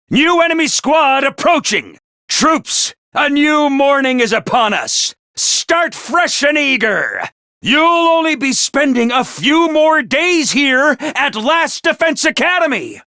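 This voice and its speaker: A hyper, gleeful game mascot who commands troops, his very high voice swooping wildly up and down. He drags out his words slowly and theatrically, with a sharp, tense tone. The studio sound is dry and close. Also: sing-song, no room sound, full character voice. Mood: rousing cheer. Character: manic, mischievous small male academy mascot commander. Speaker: game character voice lines. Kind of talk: game voice acting.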